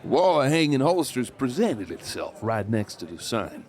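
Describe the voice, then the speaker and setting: An actor playing a cocky, swindling Old West outlaw who talks with folksy swagger in an audio drama. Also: male voice, mid-range voice, big pitch swings, moderate pace, a little mellow, close to an everyday voice. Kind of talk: audio drama narration and dialogue.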